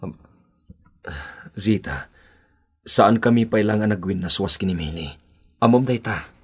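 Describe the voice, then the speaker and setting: Radio drama role: cornered, evasive young boyfriend, Ilocano accent, deep husky voice. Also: male voice, a little slow. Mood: apologetic. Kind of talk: Philippine radio drama acting.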